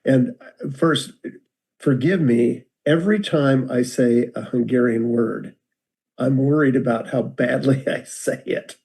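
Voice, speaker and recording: Older American man, mellow low-key tone, warm self-deprecating storyteller on a video call. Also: a little slow, vocal fry. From United States. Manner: relaxed, rambling, self-deprecating with many restarts. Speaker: guest speaker.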